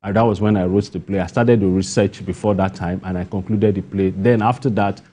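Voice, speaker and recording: Husky, mellow-voiced Nigerian man. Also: low-pitched, fairly even intonation, a little fast. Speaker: interview guest, playwright. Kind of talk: spontaneous broadcast interview answers.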